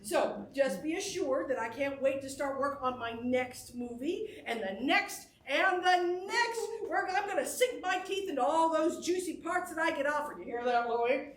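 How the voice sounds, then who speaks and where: A woman's voice: high voice, lively intonation, normal speed, few pauses. A woman playing an exuberant, effusive female movie star giving a folksy thank-you speech in a theatrical staged reading. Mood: exuberant, confident. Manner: effusive, folksy, chuckling between phrases. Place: indoor stage before a live audience. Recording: roomy stage sound with faint noise.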